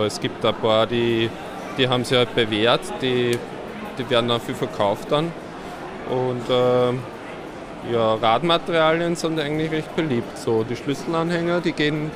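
A man describing a youth workshop in a relaxed, hesitant way with many fillers. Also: mid-range voice, moderate pace, noisy background. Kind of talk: interview answers. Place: busy indoor fair hall.

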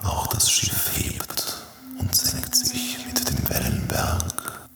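A man's voice: extremely high pitch, wildly swooping pitch, slow, vocal fry, very noisy, full character voice. A man playing an eerie, commanding hypnotist who gives suggestions in an audio drama.

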